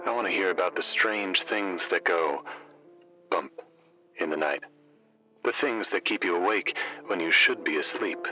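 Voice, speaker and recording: An actor playing a folksy, mysterious late-night radio host, speaking in a deliberate, intimate, conspiratorial manner with a husky voice. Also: male voice, muffled recording, over music. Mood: folksy, eerie. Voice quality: husky, breathy, deep.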